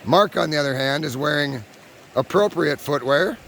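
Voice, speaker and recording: A man narrating his backpacking trip to the camera outdoors on a trail, chatty and self-deprecating, his voice going hoarse. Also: fairly high pitch, moderate pace, a bit bright, slight background noise. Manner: chatty, self-deprecating, addresses viewers directly. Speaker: backpacking vlogger. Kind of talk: casual vlog narration on the trail. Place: outdoors on a hiking trail by a creek.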